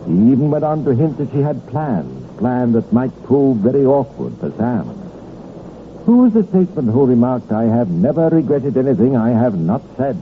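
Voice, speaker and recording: A man narrating an old radio crime drama in a wry, measured, scripted storytelling manner. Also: medium pitch, big pitch swings. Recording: tinny, lightly noisy old radio recording.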